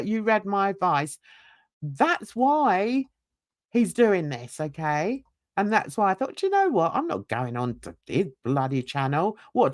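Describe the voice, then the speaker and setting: A woman's voice: mid-range voice, no echo, studio quality. An older woman, ranting bluntly and indignantly while reading a livestream chat and talking to viewers. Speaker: YouTube commentator.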